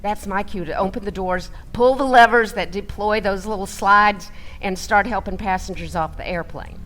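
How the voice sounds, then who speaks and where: A woman playing an excitable flight attendant who tells her training story theatrically, with deliberate delivery and loud commands. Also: medium pitch, faint music behind, close to an everyday voice. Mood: earnest, dramatic. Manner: dramatic, deliberate, breaking into loud barked commands. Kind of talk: theatrical first-person storytelling for a radio play.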